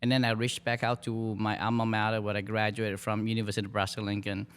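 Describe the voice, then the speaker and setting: A middle-aged man from Malaysia, a dean in hospitality, talking in a relaxed, halting way with fillers and restarts in a podcast interview. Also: lowish voice, clean tone.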